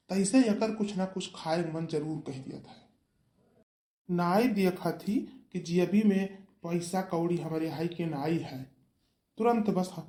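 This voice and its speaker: A man's voice: high voice, mellow. A man voicing a grumbling, quarrelsome villager in a cartoon comedy, with an Awadhi accent and a blunt rustic manner. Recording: echoey, roomy sound.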